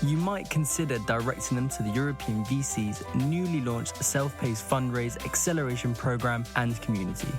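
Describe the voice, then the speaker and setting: A man's voice: mid-range voice, moderate pace, hardly any pauses. A man reading a scripted promotional spot for a podcast. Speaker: podcast announcer. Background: music.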